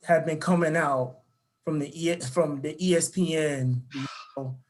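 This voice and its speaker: A man with a somewhat raised voice hosts a casual sports podcast. He moves the talk along briskly, reading through a list and inviting the others to weigh in. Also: fairly high pitch, fairly even intonation. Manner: talks fast, steers the segment. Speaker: podcast host.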